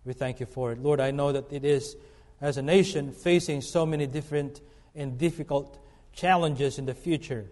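Preacher in a reverberant church. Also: male voice, a little high, a little mellow. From Philippines. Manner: earnest, halting with fillers and restarts. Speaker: guest preacher. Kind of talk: sermon. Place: church sanctuary. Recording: long reverb of a church hall.

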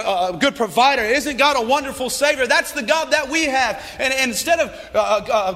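A hoarse man preaching a sermon as youth pastor, swinging between loud fired-up calls and low earnest appeals. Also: big-room sound.

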